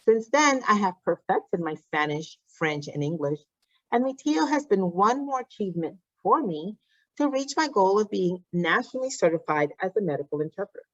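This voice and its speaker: A woman's voice: mid-range voice, dry recording. Woman reading formally, dry dull recording. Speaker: announcer reading out graduates' names and messages. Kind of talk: formal scripted ceremony narration.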